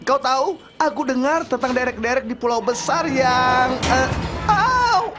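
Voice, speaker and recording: A woman's voice: high-pitched, animated, unhurried, over loud music. A woman voicing a young, gossipy talking train engine in a children's cartoon dub, chatty and earnest.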